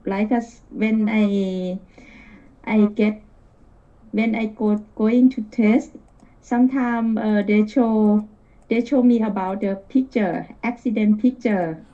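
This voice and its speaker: Slow, hesitant woman on a dull call. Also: medium pitch, steady tone, dark tone. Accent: non-native English. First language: not English. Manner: hesitant, searching for words. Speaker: caller, air traffic controller. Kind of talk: casual conversation in learner English. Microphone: internet video call.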